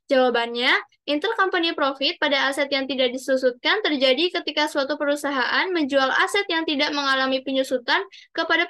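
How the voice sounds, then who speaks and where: A woman's voice: sits high, pushed and bright. A young woman reading out worked answers as a student presenter, formal with small stumbles. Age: young adult. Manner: formal, read-aloud delivery with small stumbles.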